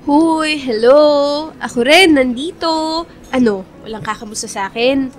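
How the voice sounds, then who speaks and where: High voiced young woman, wry teasing bestie in a radio drama. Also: unhurried, faint music behind. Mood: playful, friendly. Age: young adult. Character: teasing loyal young woman, the best friend. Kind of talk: Filipino radio drama acting.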